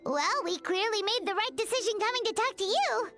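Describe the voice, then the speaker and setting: A woman voicing a tiny, excitable girl companion in a video game, chirpy and quick to blurt out reactions. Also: very high-pitched, over loud music.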